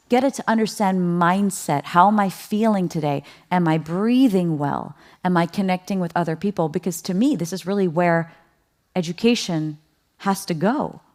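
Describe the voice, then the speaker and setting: A woman gives a keynote at a conference in a mid-pitched voice. She talks in a lively, conversational way and keeps turning to the audience with questions. Light laughs come between her points. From Netherlands. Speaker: keynote speaker.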